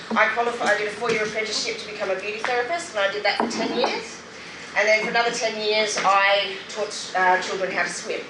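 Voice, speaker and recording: A middle-aged woman with an Australian accent giving a candid, emphatic personal testimony with wry humour in a public speech. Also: medium pitch. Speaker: guest speaker, anti-poverty group member sharing her story. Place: roomy venue at a public event. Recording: roomy, noisy, distant event microphone.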